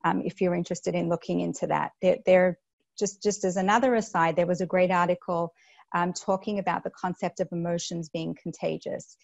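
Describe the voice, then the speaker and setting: A woman's voice: on the low side, flat delivery, a little fast, creaky, a little mellow, no room sound. A woman in her thirties with an Australian accent, giving an earnest webinar talk to teachers with many fillers and restarts, on an earphone mic over a video call. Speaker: school educator on a panel. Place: small room indoors.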